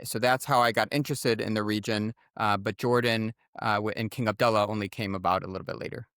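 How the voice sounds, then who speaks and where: A man's voice: on the low side, steady tone, fast, a bit bright, clean tone, no echo, clean studio sound. A man with an American accent, an author being interviewed, giving articulate and orderly explanatory answers. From United States. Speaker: guest author and former journalist.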